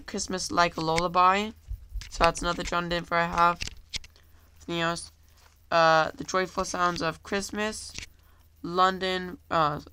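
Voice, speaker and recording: A man's voice: sits high, bright, forceful tone, slight background noise. A man with a cold, rambling casually through a video monologue, full of fillers and apologies and constantly addressing viewers as "guys", recorded on a handheld camera at home. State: has a cold. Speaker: YouTuber.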